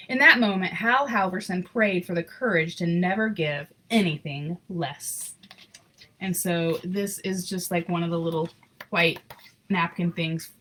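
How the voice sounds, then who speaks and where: A woman reading a children's picture book aloud in an expressive, unhurried, sentimental way, with casual asides. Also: medium pitch, low-quality recording. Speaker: storybook reader.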